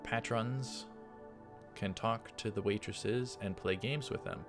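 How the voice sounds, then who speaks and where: A man with a fairly low voice and a noticeable creaky fry reads game text aloud as a let's play host. He drops in casual, offhand asides. Music plays clearly behind him. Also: slow.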